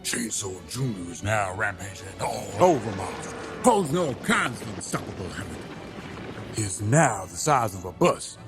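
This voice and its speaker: A low-pitched crab detective, acted by a man, pompous and sentimental. He tells his log with grand melodrama for a comic audio drama, over music. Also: very animated, normal speed. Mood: dramatic narrating. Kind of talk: comedic audio drama narration and dialogue. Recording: music behind the voice.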